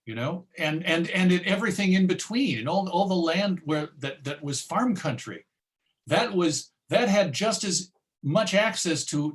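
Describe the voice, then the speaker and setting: An American man presenting an informal history lecture, conversational, with deliberate emphasis. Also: fairly high pitch.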